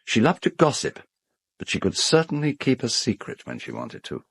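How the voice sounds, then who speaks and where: An actor with a British accent playing a suave, gossipy, teasing gentleman in a radio drama, theatrical and familiar. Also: medium pitch, huge pitch swings, moderate pace, creaky, husky, no room sound, exaggerated voice.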